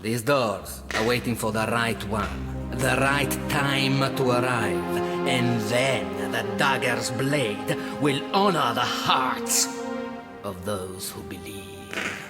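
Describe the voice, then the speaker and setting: Game character male actor: guarded devotee, mid-pitched, grave, unhurried delivery. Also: over loud music, close to an everyday voice. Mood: menacing, solemn. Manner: slow, portentous. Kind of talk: video game cutscene acting.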